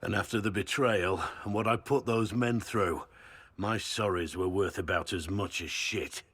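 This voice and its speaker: An actor playing a rueful, loyal, plain-spoken warrior in a video game, with a husky low voice. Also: male voice, fairly even intonation, measured pace, fairly bright tone. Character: gruff remorseful warrior man, loyal and teasing.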